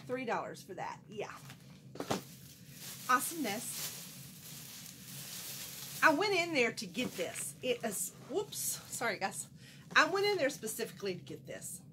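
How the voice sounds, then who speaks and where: A woman's voice: a little high, unhurried, pauses often, slight background noise, low-quality recording. Chatty woman with a cold. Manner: folksy, animated, chatty, wanders off mid-sentence. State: has a cold, a little nasal. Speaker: haul vlogger. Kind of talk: casual chatty haul vlog. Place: small room at home, indoors.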